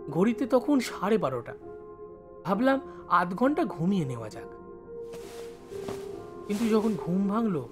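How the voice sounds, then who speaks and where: Guy as village schoolboy narrating an audio drama, highish voice, really lively swings, music behind. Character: village schoolboy studying in Kolkata, recalling his life. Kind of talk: dramatised first-person story narration.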